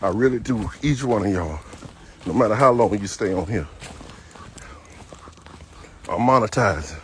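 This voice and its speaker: A man's voice: fairly low pitch, expressive, measured pace, a little hiss or hum. A man in his fifties, a dog trainer, talking casually and bluntly while walking his dog on an outdoor trail. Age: 50s. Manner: talkative, blunt, folksy storytelling.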